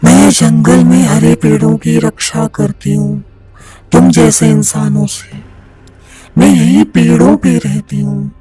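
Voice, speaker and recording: A woman acts out a menacing female spirit of the forest for a cartoon horror tale. She speaks slowly and darkly, taunting and scolding, with big swings in pitch. Music plays behind her. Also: huge pitch swings, warm tone, heavily acted. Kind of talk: cartoon horror story acting.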